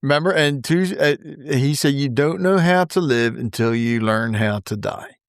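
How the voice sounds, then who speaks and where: A man's voice: medium pitch, moderate pace, clean tone, no room sound, studio quality. A man hosting a podcast interview, chatting casually and offering supportive, affirming responses. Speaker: podcast host.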